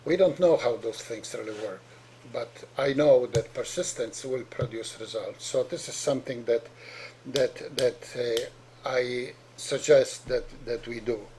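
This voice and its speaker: A man's voice: mid-range voice, unhurried, darkish tone, slight background noise, rough recording. A middle-aged man from Poland with a heavy Polish accent, a doctor giving an earnest explanatory talk to parents, often repeating and restarting words.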